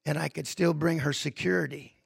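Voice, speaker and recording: Gravelly-voiced man preaching a sermon. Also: fairly high pitch, fairly even intonation, fairly quick, no room sound, studio quality. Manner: builds from quiet reflection to loud emphatic preaching. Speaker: pastor.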